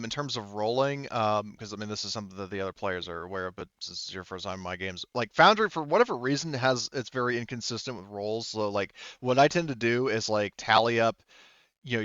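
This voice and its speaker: A man with a smooth, clean voice runs a tabletop game in an informal way. He sounds close and dry, as on an online voice call, with the sound a little dulled. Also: on the low side, brisk, fairly bright tone, no room sound, lo-fi sound. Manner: informal, sweary. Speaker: game master. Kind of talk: casual online tabletop game narration and rules talk.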